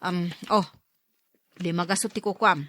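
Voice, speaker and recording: A woman's voice: lowish voice, steady tone, measured pace. An actress playing a shrewd, scheming mother who haggles and scolds, in a drama. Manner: sharp-tongued, bargaining, scolding. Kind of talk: radio drama acting.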